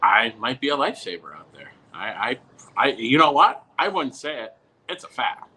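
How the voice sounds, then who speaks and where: A man's voice: mid-range voice, big pitch swings, moderate pace, pushed and bright. A man chatting casually as a podcast guest, bantering and repeating himself with mock boastfulness. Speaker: podcast guest who works at a TV station. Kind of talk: casual, bantering sports chat.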